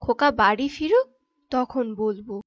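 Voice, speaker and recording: A woman's voice: on the high side, sing-song, moderate pace. A woman voicing a needy, wheedling middle-aged mother of a grown son in a cartoon. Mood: nagging. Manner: wheedling, complaining. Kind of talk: cartoon voice acting. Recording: dull lo-fi track with music behind.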